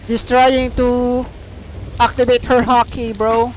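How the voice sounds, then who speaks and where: A woman's voice: a little high, slow, a little hiss or hum, low-quality recording. A woman doing casual, slangy commentary as she plays a game. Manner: excitable, swearing, slangy. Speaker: streamer reacting to a game.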